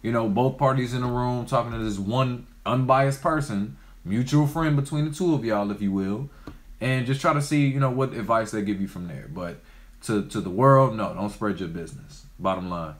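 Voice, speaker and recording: A man's voice: mid-range voice, normal speed. A young man co-hosting a casual couple chat, laid-back and slangy, recorded in a small room. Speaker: co-host.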